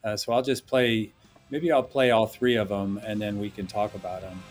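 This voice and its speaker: A man talking as a podcast guest and instructor, thoughtful and measured, often hedging his points. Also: lowish voice, steady tone. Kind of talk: podcast interview talk.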